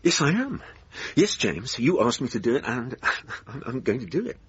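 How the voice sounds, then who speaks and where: A man acting an earnest, easily exasperated hypnotherapist in a naturalistic radio drama, halting and repeating words when flustered. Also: mid-range voice, sing-song, slightly rough. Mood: incredulous, then coaxing. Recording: dull lo-fi radio recording, audible noise.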